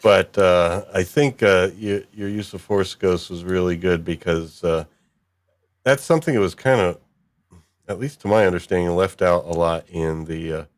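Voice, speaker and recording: Guy with a deep voice hosting a podcast, chatting casually, kinda halting as he thinks. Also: no room sound. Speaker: podcast host. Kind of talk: casual discussion of a story.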